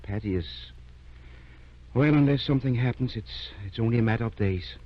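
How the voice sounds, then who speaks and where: An actor playing a kindly, determined older country doctor in an old-time radio drama, measured and earnest. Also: male voice, mid-range voice, lightly acted. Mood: grave. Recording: dull vintage radio recording.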